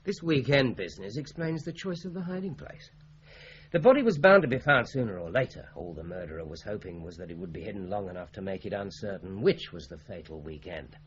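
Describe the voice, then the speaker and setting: An actor playing a courteous, methodical British police inspector in a radio crime drama, measured and businesslike. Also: male voice, fairly low pitch, expressive, lightly acted. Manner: measured, businesslike, politely probing. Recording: muffled radio drama recording with hiss.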